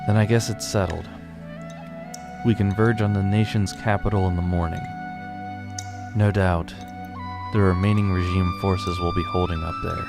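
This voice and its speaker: A man playing a weary, determined father who leads a rebellion, narrating his story gravely and earnestly in an audio drama. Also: low voice, steady tone, moderate pace, long pauses, loud music behind the voice. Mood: resolute. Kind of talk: scripted first-person narration and audio drama dialogue.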